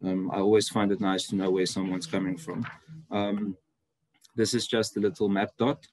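A man's voice: lowish voice, flat delivery, normal speed. A man whose first language is Afrikaans, from South Africa, giving an online seminar talk as a university lecturer in a friendly, chatty way with many ums. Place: indoor room, video call.

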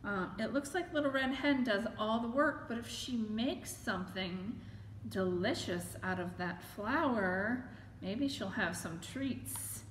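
A woman's voice: medium pitch, takes it slowly. A middle-aged woman reading a picture book aloud to children and putting questions to them as she goes. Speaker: librarian reading a children's story. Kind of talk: animated story time read-aloud with questions to children. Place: library room with bookshelves, indoors. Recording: echoey room with faint background noise.

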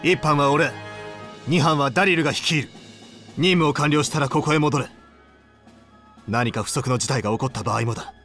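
An actor playing a stern, driven young military squad leader in a Japanese video game. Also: male voice, a little high, normal speed, long pauses, fairly bright tone. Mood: commanding. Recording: music behind the voice.

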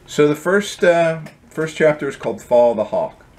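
A man's voice: on the high side, lively intonation, moderate pace, a little mellow. A middle-aged man reading from his own book as its author, in a storybook style with casual introductions. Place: indoor home office.

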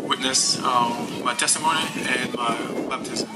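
A man's voice: mid-range voice, fairly even intonation. Haitian-born man giving an outdoor testimony. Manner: unhurried, halting, many ums and restarts. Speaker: church member giving testimony. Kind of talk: personal testimony to a gathering. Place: outdoors by a lake. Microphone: stand mic, filmed from a distance. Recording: outdoor ambience and noise.

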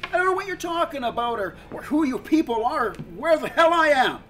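A man's voice: very high-pitched, animated, fairly quick, bright, forceful tone, slight background noise, heavily acted. A middle-aged man playing a bewildered ordinary husband, hesitant and stammering, in a film drama. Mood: indignant. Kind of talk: amateur film drama acting.

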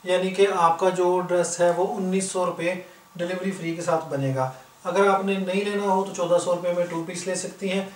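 High-voiced Pakistani salesman, roomy distant sound. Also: level tone, brisk. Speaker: shop seller, video host. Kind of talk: informal product demonstration sales talk. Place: indoor room, goods spread on floor. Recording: roomy, distant mic, faint noise.

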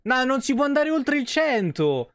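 A man's voice: very high voice, very animated, normal speed, a bit bright, dry recording, studio quality. A man in his thirties doing casual live game commentary and chatting with viewers, informal and swearing freely. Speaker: live streamer.

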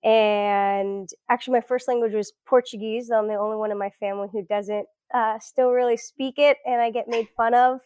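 A woman's voice: fairly high pitch, fairly even intonation, normal speed, few pauses, darkish tone, clear, resonant tone, no room sound. A woman in her thirties from South Florida, chatting as a guest in a casual interview, with many fillers and restarts. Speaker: guest researcher.

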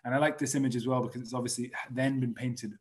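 A Scottish man in his thirties guides a live online tour from a small room at home. His mid-range voice stays relaxed and conversational, full of fillers and self-corrections. The sound comes through a webcam mic on a video call. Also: mid-range voice, quick pace. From Scotland. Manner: relaxed, chatty, frequent fillers and restarts. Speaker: tour director. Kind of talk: casual virtual tour presentation.

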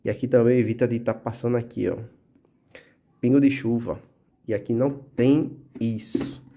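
Man, Pernambuco accent, dark mellow voice, casual chatty show-and-tell, tag questions, indoors, close phone mic. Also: mid-range voice, normal speed. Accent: Pernambuco Portuguese. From Brazil. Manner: relaxed, chatty, full of fillers like "tá?" and "né?". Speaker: motovlog channel host. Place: indoors at a table, filming his gear.

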